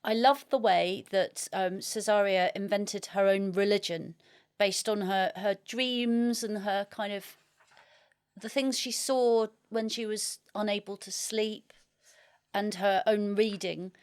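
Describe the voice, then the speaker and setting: A woman's voice: medium pitch, fairly even intonation, slow pace, clear, resonant tone. A woman podcast host with a mid-range voice talks in a conversational way. She sounds like an interviewer in a book discussion, commenting and asking questions.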